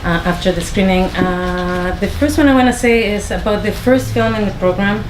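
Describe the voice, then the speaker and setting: A woman from Chile with a Spanish accent, introducing her films to an audience in a small venue, with many pauses and restarts. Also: mid-range voice, reverberant, background noise. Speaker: filmmaker introducing her screening. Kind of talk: informal talk to an audience.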